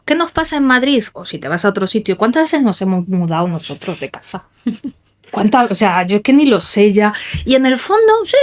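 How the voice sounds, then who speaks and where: A woman, a writing teacher hosting a podcast, talking in a lively way with small laughs. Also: on the high side. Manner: lively, laughs between thoughts. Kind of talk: casual conversation.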